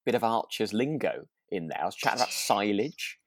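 A man's voice: lowish voice, big pitch swings, brisk, slight background noise. A British man co-hosting a casual podcast about a radio drama, speaking hesitantly with chuckles as he talks. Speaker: podcast co-host and actor.

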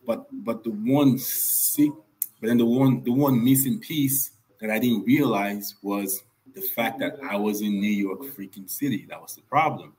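A man's voice: on the low side, unhurried, darkish tone, rough recording. A young man from Nigeria, a guest on a podcast, telling his story in an earnest way and repeating points for emphasis. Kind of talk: casual podcast interview.

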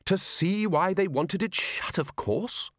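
A man voicing a loud, bossy older male head wizard who blusters and insists on getting his way. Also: sits high, slow, dry recording, clean studio sound, voice pushed up. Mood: indignant. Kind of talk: audiobook character voice.

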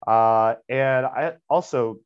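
A man hosting a casual podcast interview, relaxed and easygoing, often saying "right on". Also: medium pitch, takes it slowly, clean tone. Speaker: podcast host. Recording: slightly dull lo-fi sound.

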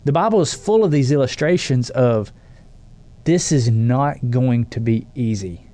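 Man, earnest faith podcast co-host, mellow tone, medium pitch. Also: animated, measured pace. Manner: earnest, emphatic, restarts phrases. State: stuffy with allergies.

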